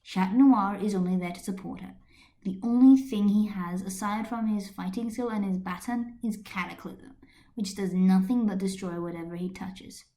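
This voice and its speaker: A woman's voice: medium pitch, steady tone, normal speed, dark tone, lightly acted. A woman voicing a reserved, uneasy teenage schoolboy in a subdued manner, in an amateur story reading. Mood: matter-of-fact, subdued.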